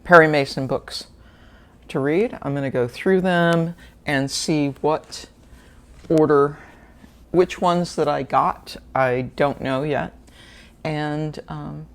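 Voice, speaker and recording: A woman's voice: deep voice, slow pace, pauses often. A woman, hosting a book channel and chatting casually through an unboxing with fillers and small laughs. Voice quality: slightly gravelly.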